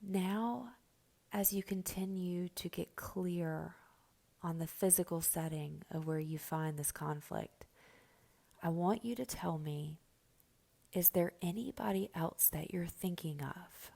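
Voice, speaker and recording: A woman leads a guided meditation very slowly and gently, leaving long pauses between instructions. Her voice is soft and mellow, often nearly whispered, with a noticeable fry at the edges. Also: on the low side. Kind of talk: slow guided meditation narration.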